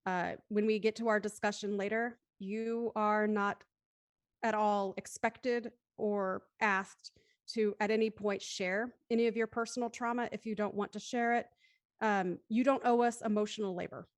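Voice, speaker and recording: A woman's voice: medium pitch, fairly even intonation, normal speed, dry recording, studio quality. A woman hosting a live streamed play reading, speaking in articulate, polite and rather formal phrases. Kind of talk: scripted recap and live show hosting.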